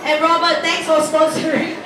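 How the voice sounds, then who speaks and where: Woman, event emcee, high pitched, loud hype voice, echoey distant mall sound with music and crowd noise. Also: completely flat delivery, moderate pace. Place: busy shopping mall food court. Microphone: handheld mic.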